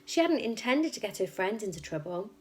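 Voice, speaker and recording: A woman reading a children's story aloud like a teacher, with expressive, emphatic and suspenseful narration. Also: mid-range voice, brisk.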